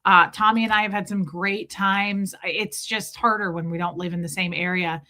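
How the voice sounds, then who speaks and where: A woman's voice: fairly even intonation, normal speed, pushed and bright. A woman with an American Midwestern accent chatting candidly and casually with viewers as a livestream host. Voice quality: voice deeper than usual from lack of sleep.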